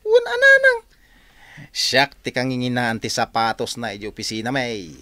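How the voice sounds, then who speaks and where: A young office show-off in a radio drama. His voice is light and swings widely in pitch as he brags and wheedles like a spendthrift who is always broke. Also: huge pitch swings, normal speed, pushed and bright, no echo. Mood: boastful. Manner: boastful, wheedling. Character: boastful, broke young male office worker, showy spendthrift.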